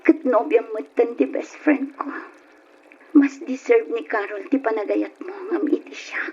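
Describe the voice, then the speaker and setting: An Ilocano woman acting a self-sacrificing young woman torn by forbidden love in a radio drama, pleading in a breathy, emotional way. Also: fairly high pitch, unhurried, dark tone. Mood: distressed pleading. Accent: Filipino, Ilocano. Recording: muffled, noisy old radio drama recording.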